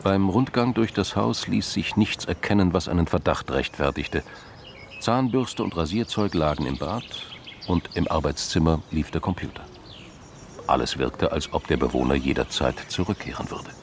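A man's voice: sits low, moderate pace, slight background noise. A man narrating a scripted crime drama.

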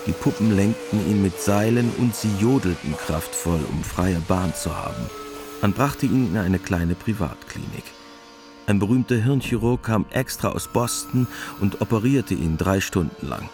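Low-voiced man narrating a radio drama. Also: expressive, normal speed. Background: music.

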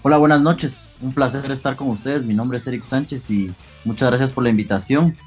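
A man in his twenties from Guatemala with a Guatemalan Spanish accent, answering interview questions earnestly, pausing often with fillers. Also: mid-range voice, muffled recording, faint music behind. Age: 20s. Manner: earnest, pauses with many fillers. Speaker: guest athlete.

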